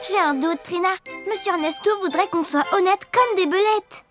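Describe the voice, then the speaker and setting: A woman's voice: very high voice, moderate pace. A woman voicing an earnest, honest, slightly hesitant schoolboy superhero in a French children's cartoon dub. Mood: worried, earnest. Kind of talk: French animated children's series dubbing. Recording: music behind the voice.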